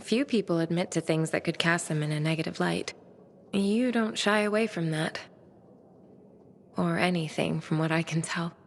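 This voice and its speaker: An actress playing a shy, dreamy, bookish young woman who speaks softly and wistfully, often half-whispering. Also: on the low side, moderate pace, a little hiss or hum, close to an everyday voice.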